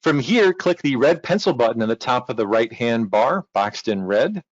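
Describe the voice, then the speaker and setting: A man presents a technical walkthrough in an informal, conversational way, with a reassuring manner. His voice sits at a medium pitch. The recording is dry and somewhat dull, with little room sound. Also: lively intonation, normal speed, no echo. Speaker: technical documentation team member, presenter.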